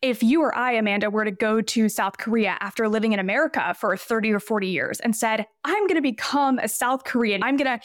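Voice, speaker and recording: A woman's voice: fairly high pitch, a little fast, hardly any pauses, dry recording, studio quality. A woman in her twenties, a podcast guest, talking casually and explaining her ideas at length with examples. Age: 20s. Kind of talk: casual interview talk.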